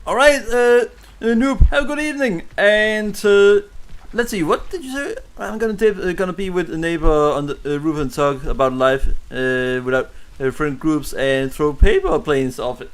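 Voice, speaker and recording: A man's voice: a little high, moderate pace. A man streaming a video game, giving casual, chatty commentary and talking to his chat. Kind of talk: casual live gameplay commentary. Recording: game music behind the voice. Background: video game music.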